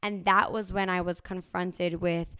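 Smooth-voiced woman telling her story. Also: on the low side, a little slow, dry recording. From New Jersey, USA. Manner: animated storytelling with frequent fillers. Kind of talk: casual conversational chat.

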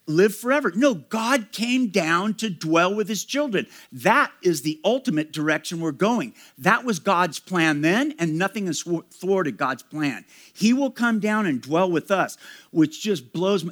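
A man's voice: high voice, a bit bright. A man preaching a sermon to his congregation, earnest and emphatic, speaking straight to his listeners. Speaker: pastor.

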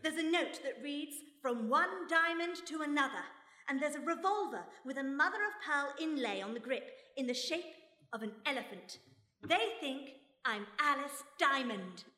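A woman playing a shrewd, unflappable British mother turned sleuth in a radio mystery, crisp, composed and dryly witty. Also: high voice, expressive, normal speed, bright, forceful tone. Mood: composed, wry. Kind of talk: radio play mystery acting. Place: stage before a live audience. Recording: echoey hall, live stage recording.

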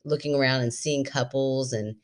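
A woman in her forties co-hosting a podcast in casual chat, an animated storyteller who laughs often. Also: rumbling low, moderate pace. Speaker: podcast co-host.

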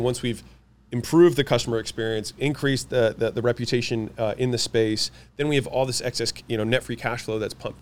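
A man whose first language is French, answering interview questions about running companies, confident and quick, listing his points one after another. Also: mid-range voice. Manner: fast, confident, lists points in quick runs. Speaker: guest, business owner.

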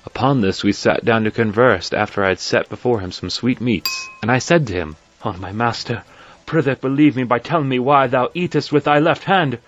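A man's voice: medium pitch, moderate pace, fairly bright tone, natural voice. A man playing a courteous, curious broker who narrates his story in formal archaic English for an audio drama. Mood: hospitable, curious. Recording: dull lo-fi sound, faint music behind.

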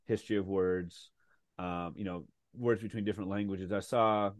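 Male podcast host, low voice, dry close recording, chatty and upbeat. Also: fairly even intonation, normal speed, dry recording. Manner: chatty, enthusiastic, with frequent fillers. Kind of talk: casual interview chat.

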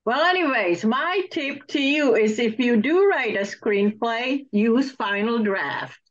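A woman interviewer with a fairly high, very animated voice. She chats casually and encouragingly with her guest. The audio is a little muffled. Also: slow. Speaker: interviewer, host.

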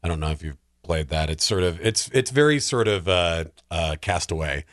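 Low-voiced man chatting casually. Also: animated, moderate pace, a bit bright, dry recording, studio quality. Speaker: podcast co-host. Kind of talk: casual chat about films and video games.

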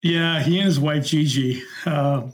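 Middle-aged man, higher-pitched, telling casual stories. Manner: unhurried, conversational, retells dialogue. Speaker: podcast guest, former concert security worker. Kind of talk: casual anecdotal storytelling.

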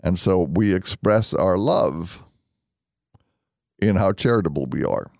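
A man speaking as a deacon and radio host, conversational and earnest, explaining things deliberately. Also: low voice, expressive, vocal fry, a little mellow, no room sound, studio quality. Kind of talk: conversational religious radio hosting.

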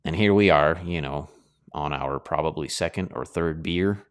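A man with a very low, smooth and resonant voice talks in a loose, reflective monologue. He reminisces with plenty of ums and ahs. He is middle-aged and hosts his own show. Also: moderate pace. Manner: rambling, nostalgic, with many fillers. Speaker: host.

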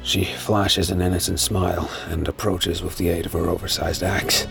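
A man narrating a fan audio drama in first person, measured and brooding. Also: low voice, little pitch movement, gravelly, fairly bright tone, faint music behind.

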